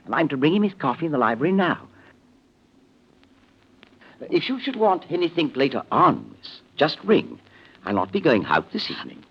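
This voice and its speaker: An actor playing a polite, formal household servant in a 1940s radio mystery, deferential and servile. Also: male voice, a little high, sing-song, normal speed, pauses often, breathy edge, full character voice. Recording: muffled vintage radio recording.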